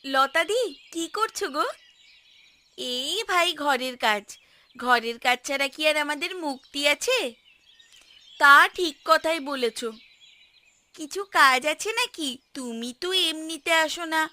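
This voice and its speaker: A woman voicing sharp-tongued village women in a Bengali cartoon, with a Bengali accent. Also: high-pitched, lively intonation, unhurried, pauses often, heavily acted. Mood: earnest, worried. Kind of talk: cartoon voice acting. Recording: music behind the voice.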